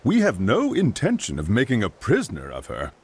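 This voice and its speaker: An oily, swooping villain's aide. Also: male voice, wildly swooping pitch, brisk, husky, exaggerated voice. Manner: oily, wheedling, pitch swooping widely. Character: sly male aide to a count, fawning and cunning. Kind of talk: dubbed video game cutscene.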